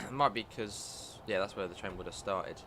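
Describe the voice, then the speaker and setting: Man, congested from a cold, low pitch, thinking aloud, game engine hum behind. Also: normal speed. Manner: chatty, thinks aloud, flustered at times. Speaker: guest game streamer. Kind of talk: live gameplay commentary. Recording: game sounds running under voice. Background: train simulator ambience.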